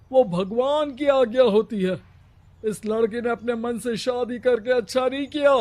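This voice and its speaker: A man's voice: extremely high pitch, darkish tone. A man voicing a stern, domineering village headman in a cartoon story, speaking harshly and commandingly.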